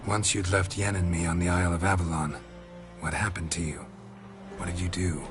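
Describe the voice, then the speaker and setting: Man acting as gruff laconic monster hunter, very low voice, terse and weary, game dialogue, music behind. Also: level tone, brisk, natural voice. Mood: curious, reserved. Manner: terse, clipped, understated.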